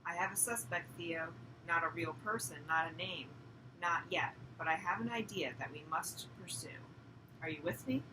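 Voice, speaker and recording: A woman's voice: lowish voice, normal speed, lightly acted. Distant, noisy woman reading as a talkative old uncle. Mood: excited, eager. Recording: distant mic, clearly noisy background.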